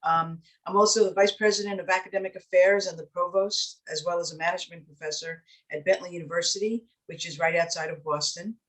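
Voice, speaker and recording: A woman's voice: fairly low pitch, fairly even intonation, fast, hardly any pauses, rough recording. New lady, fifties, chatty panel intro on a video call, lots of ums. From New York City, Puerto Rican heritage. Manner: warm, personal, with frequent "um". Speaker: university provost, panelist.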